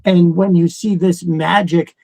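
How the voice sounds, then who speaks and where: A man's voice: high voice, fairly even intonation, unhurried, a little mellow, no room sound. A man around his thirties or forties co-hosting a fan show, talking casually in a rambling, enthusiastic way. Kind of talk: casual chat.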